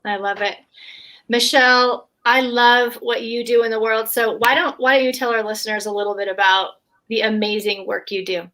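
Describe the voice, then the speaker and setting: A woman's voice: fairly high pitch, flat delivery, normal speed, pushed and bright. A woman hosting a casual video call panel from home, speaking with enthusiastic praise for her guests. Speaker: host.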